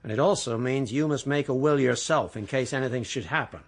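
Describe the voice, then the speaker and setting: Guy acting older family lawyer in a radio play, shrewd n stern, medium pitch, measured. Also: close to an everyday voice. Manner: measured, pointed, knowing. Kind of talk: radio drama dialogue.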